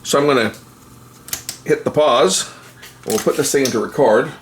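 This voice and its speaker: A man's voice: mid-range voice, moderate pace, noisy background. A man giving casual, unscripted commentary as a repair hobbyist, rambling and thinking aloud while he works. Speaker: repair hobbyist presenting a video.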